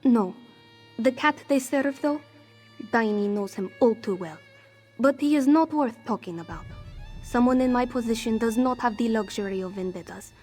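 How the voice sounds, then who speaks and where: A woman acts out a scrappy female cat-folk thief from a fantasy game, her voice on the high side. She is anxious and defensive, with stiff, formal phrasing hardened by life on the street. Music plays behind her. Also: moderate pace, darkish tone, natural voice. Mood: bitter. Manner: refers to herself in third person, formal contraction-free phrasing. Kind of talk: fantasy game character dialogue.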